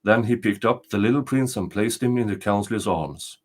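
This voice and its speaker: An older man narrating a storybook tale aloud in a deliberate, dramatic way. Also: lowish voice. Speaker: storyteller reading folktales.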